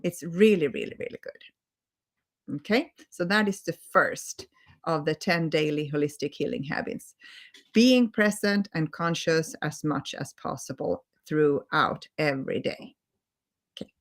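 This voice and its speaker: Slow, low-voiced Swedish woman coaching. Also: expressive. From Sweden. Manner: gentle, earnest, repeats words for emphasis. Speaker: holistic healing coach. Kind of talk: live-stream teaching talk.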